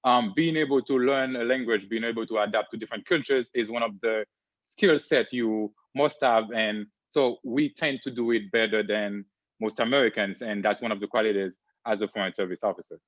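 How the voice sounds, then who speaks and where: A man's voice: lowish voice, a little fast, no room sound. Male diplomat, explanatory and earnest, muffled dry recording. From Haiti. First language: Haitian Creole. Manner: earnest, explanatory, with many fillers. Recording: dry, dull lo-fi sound.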